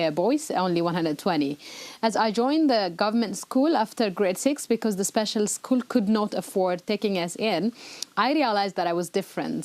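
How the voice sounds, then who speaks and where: A woman's voice: mid-range voice, a little mellow, no echo. A woman, a guest in a studio interview, explaining things earnestly with frequent hesitations. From Ethiopia. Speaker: interview guest, rights activist. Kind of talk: broadcast interview answers. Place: broadcast studio.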